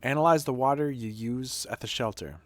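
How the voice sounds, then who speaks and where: A man reading game dialogue as a blunt, skeptical male doctor with a brisk, questioning manner. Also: mid-range voice, close to an everyday voice. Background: music.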